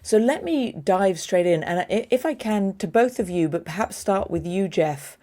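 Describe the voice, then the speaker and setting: A woman moderating a policy debate, summarizing arguments and posing questions in a measured way. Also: medium pitch, lively intonation, mellow.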